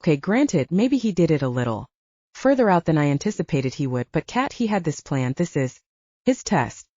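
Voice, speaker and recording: A woman's voice: low voice, sing-song, normal speed, dark tone, no room sound, highs cut off. A woman voicing a cocky, teasing, pragmatic male soldier in an audiobook, with casual bantering and coaxing. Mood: defensive. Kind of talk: dramatized audiobook dialogue.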